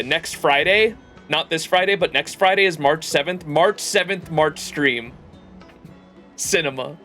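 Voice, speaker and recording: A man doing casual livestream commentary on a game, excitable and rambling as he chats. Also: fairly high pitch. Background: game music.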